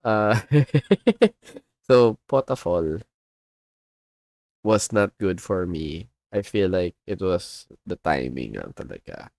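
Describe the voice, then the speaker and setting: A man's voice: low voice, very animated, slow, vocal fry, a little mellow, dry recording. A man with a Filipino accent, chatting casually on a livestream as a comic artist and rambling as he switches between English and Tagalog. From Philippines.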